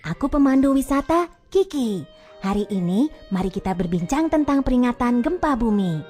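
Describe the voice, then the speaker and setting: Kiki, a friendly cartoon tour guide. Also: female voice, a little high, sing-song, normal speed, dark tone, faint music behind. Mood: explaining. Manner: clear, patient explainer tone. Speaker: cartoon guide explaining earthquake warnings. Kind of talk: animated kids' educational cartoon dub.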